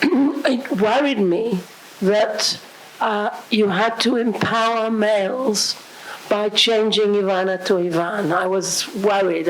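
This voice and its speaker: Hoarse woman asking a slow question. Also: mid-range voice, a little hiss or hum, low-quality recording. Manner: slow and halting, clearing her throat. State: hoarse, losing her voice. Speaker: audience member asking a question.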